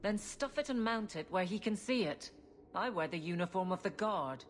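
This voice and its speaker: An actress playing a blunt, proud captain of a city guard in a video game, speaking in a dry, firm, no-nonsense manner. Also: female voice, mid-range voice, animated, moderate pace, lightly acted. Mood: brusque, wry. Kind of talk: video game cutscene acting. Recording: game audio with background ambient noise.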